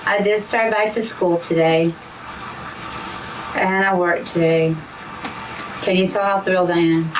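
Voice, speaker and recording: A woman co-hosting a casual hobby chat, hoarse and losing her voice, rambling with frequent restarts. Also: on the low side, fairly even intonation, measured pace, long pauses, far from the mic, noisy background. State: hoarse, losing her voice from talking too much. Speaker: co-host of a diamond painting channel.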